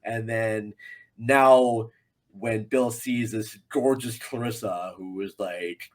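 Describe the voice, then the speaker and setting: A man's voice: fairly low pitch, fairly even intonation, takes it slowly. A man guesting on a film podcast, chatting casually in a rambling, joking manner. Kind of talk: casual chat about a film.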